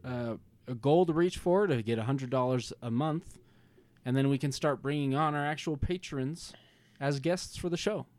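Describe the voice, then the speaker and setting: A man's voice: mid-range voice, moderate pace, no echo. A man hosting a podcast in casual chat, joking and laughing easily. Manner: jokey, laughing, lively delivery. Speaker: podcast host.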